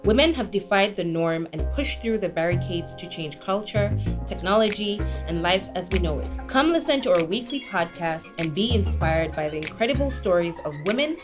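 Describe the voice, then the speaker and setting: A woman's voice: mid-range voice, expressive, moderate pace, music in the background. A woman reading a scripted podcast intro in a dramatic, emphatic way. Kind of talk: scripted promo intro over music.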